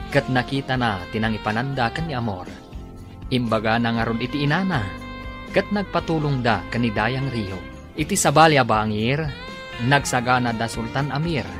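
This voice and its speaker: A man with an Ilocano accent delivering dramatic scripted narration as a radio drama announcer. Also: mid-range voice. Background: music.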